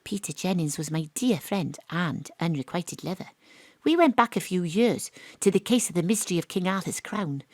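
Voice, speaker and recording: An actress playing a wry, cocky woman detective who narrates her case in a deadpan, confiding audio drama style. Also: fairly low pitch, moderate pace, no echo.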